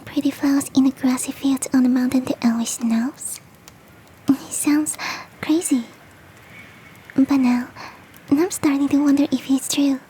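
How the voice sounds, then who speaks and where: Woman as a high, whispering, sweet yeti girl. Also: steady tone, a little slow, pauses often, slight background noise, low-quality recording. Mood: wistful. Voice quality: soft, breathy whispering. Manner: gentle, coaxing, affectionate, self-deprecating. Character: big, sweet, doting female yeti hostess. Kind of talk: whispered ASMR roleplay audio.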